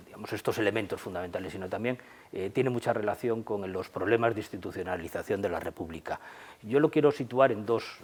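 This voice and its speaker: A man with an accent from Spain leads a history discussion. His voice sits low and has a husky grain. He speaks without a script, in emphatic, academic phrases broken by hesitations and repeated words. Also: a little mellow. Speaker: presenter and discussant.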